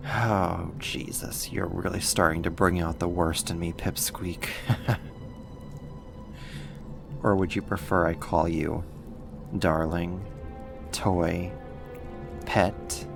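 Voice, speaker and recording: A man's voice: low voice, steady tone, vocal fry, over music, full character voice. A man playing a teasing, possessive, menacing villain kidnapper in an ASMR roleplay, drawing out his taunts with sighs. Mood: flirtatious, taunting. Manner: slow, drawn-out teasing with sighs.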